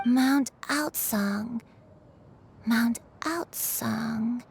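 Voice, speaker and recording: Game role: frail elderly grandmother, slow and halting, apologetic, gentle; background music. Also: a little high, a little hiss or hum. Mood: confused, distressed. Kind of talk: video game voice acting.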